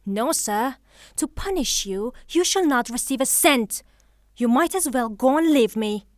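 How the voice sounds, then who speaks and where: An actress playing a proud, passionate young widow in a theatrical audio drama, haughty and dramatic in manner. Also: female voice, fairly high pitch, animated, unhurried, no echo, studio quality. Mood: indignant.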